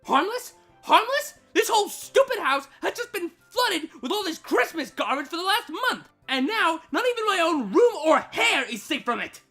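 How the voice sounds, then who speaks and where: A woman's voice: very high voice, very animated, moderate pace, hardly any pauses, bright, forceful tone, exaggerated voice. A woman playing a furious, menacing young female villain in a dramatized fan fiction reading, with indignant, emphatic outbursts. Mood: exasperated. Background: background music.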